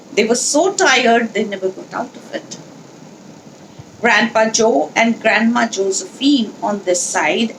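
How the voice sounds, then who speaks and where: A woman leading a children's read-along, speaking in an instructional, deliberate, explanatory way with pauses. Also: a little high, gravelly, a little hiss or hum, rough recording. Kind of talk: instructional talk and storybook read-aloud.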